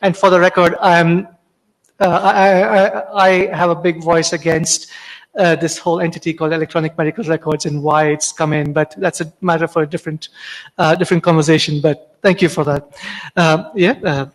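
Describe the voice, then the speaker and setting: A man's voice: a little high, level tone, moderate pace. A man moderating a panel discussion, hesitant with many fillers. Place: conference hall. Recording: long hall reverb.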